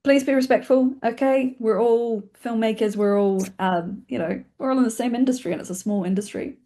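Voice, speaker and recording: A woman with a New Zealand accent, talking fast and informally as she hosts an online webinar, with a chatty, self-deprecating manner. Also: a little high, dark tone. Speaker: webinar host and film community organiser. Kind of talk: informal spoken webinar presentation. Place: online video call.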